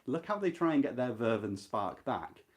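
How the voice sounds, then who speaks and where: A man with a mid-pitched voice gives a literary talk. His intonation moves widely up and down as he stresses key phrases. He addresses his viewers deliberately, like a host guiding them through a book. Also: sing-song. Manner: emphatic, deliberate, repeats key points. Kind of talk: literary lecture, explaining a novel to viewers.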